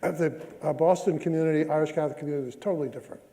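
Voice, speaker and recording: A man's voice: a little high, rapid-fire, warm tone. A man from New York speaking as a panelist, reflective in tone. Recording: distant in echoey hall, faint noise.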